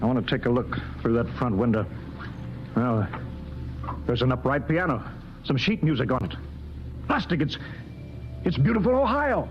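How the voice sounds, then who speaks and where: A man's voice: medium pitch, animated, normal speed, long pauses, muffled recording, natural voice. An actor playing an authoritative, skeptical male spaceship captain in an old-time radio drama. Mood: wondering. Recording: old radio broadcast, music behind.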